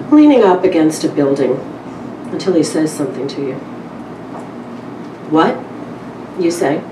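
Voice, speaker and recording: A woman with a lowish voice speaks at a literary event in a candid, conversational manner. She is picked up from a distance in an echoing room. Her delivery is wry and informal, like a live reading among acquaintances. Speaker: publisher introducing and reading a story at a literary event. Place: indoor live event room with small audience. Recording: roomy, distant mic with clear background noise.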